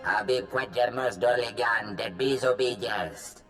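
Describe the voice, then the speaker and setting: A man voicing a strange, solemn alien captive in a video game, uttering halting, incantatory phrases in an invented tongue. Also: mid-range voice, music in the background, natural voice. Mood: solemn, cryptic.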